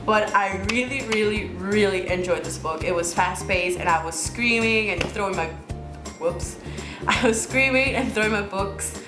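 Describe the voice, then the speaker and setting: A woman's voice: mid-range voice, moderate pace. A young woman from Mexico whose first language is Spanish, rambling chattily through a casual book haul vlog with frequent restarts. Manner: chatty, rambling, many restarts and repeated words. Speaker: book vlogger. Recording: roomy sound with music underneath.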